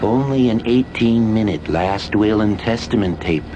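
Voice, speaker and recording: An actor playing a servile, literal-minded mortuary assistant, formal and deadpan in a radio drama. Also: male voice, on the low side, a little slow, background noise. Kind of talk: audio drama acting.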